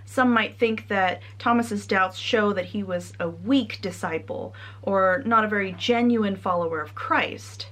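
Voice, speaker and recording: A young woman with a Connecticut American accent, a trainee priest giving a measured, earnest sermon. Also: mid-range voice, a bit bright. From United States.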